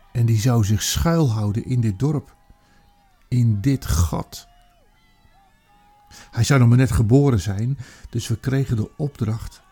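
A haunted old Roman army commander, acted by a man in a radio drama monologue. He reflects in a halting, theatrical way and leans hard on key words. The delivery is weighty and dramatic. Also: medium pitch, dark tone, breathy edge.

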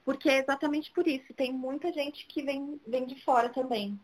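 Higher-voiced São woman chatting casually. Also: steady tone, normal speed, low-quality recording. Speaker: interview guest, social media city guide creator. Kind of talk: casual chat.

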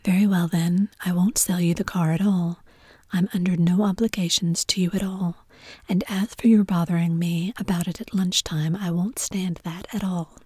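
A blustering, gruff man of wealth, whispered by a woman. The voice sits low and mellow, breathy with no voice behind it. The sound is close and dry, with no room at all. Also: moderate pace, no room sound. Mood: indignant.

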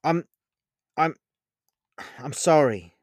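A man voicing a distraught adult female werewolf who talks in an earnest, halting, pleading way. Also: a little high, no room sound, studio quality, voice pushed up. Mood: annoyed. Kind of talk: storybook character voice.

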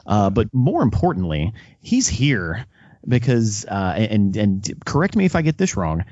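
A man interviewing as a podcast host in a casual, halting style, often repeating words as he goes. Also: fairly low pitch, wildly swooping pitch, a little mellow, breathy edge, dry recording, muffled recording.

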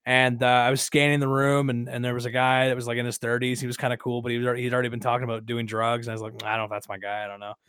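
A man in his early twenties telling a story in a casual podcast style, rambling and halting, often repeating himself and swearing. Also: mid-range voice, flat delivery, a bit bright, smooth, no room sound, studio quality. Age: early 20s. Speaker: podcast host.

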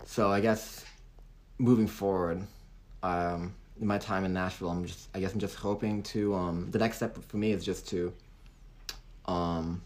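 A young man from Hong Kong with a light British-tinged American accent, a singer-songwriter guest talking haltingly in a casual interview. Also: deep voice, steady tone, darkish tone. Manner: halting, many restarts and fillers.

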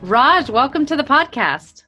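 A woman's voice: sits high, big pitch swings, measured pace, highs cut off, faint music behind. A woman speaking as a podcast host in a casual, chatty interview style.

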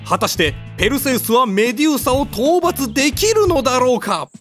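Lively woman narrating a comedy audio drama. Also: high-pitched, fairly quick, soft background music.

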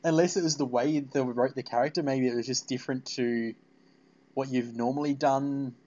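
A man's voice: medium pitch, fairly even intonation, normal speed, darkish tone, highs cut off. A man hosting a casual fan interview, rambling and repeating his phrases as he goes. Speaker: podcast interviewer.

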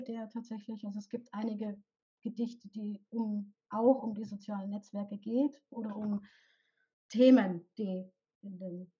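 A woman's voice: mid-range voice, warm tone, slightly rough, muffled recording. Bavarian woman with rolled R. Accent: light Bavarian, rolled R. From Bavaria, Germany. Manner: thoughtful, explains with pauses and restarts. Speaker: poet, interview guest. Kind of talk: casual interview.

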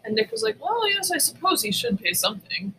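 A woman's voice: sits high, sing-song, a little fast, noisy background. A woman co-hosting a podcast, telling stories in casual, blunt, emphatic chat with plenty of swearing. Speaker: podcast co-host. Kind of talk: casual, profane storytelling chat.

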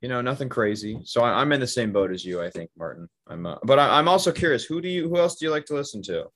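A man's voice: medium pitch, animated, fast, a bit bright. A man in casual conversation who asks questions and gives short replies. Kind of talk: casual chat.

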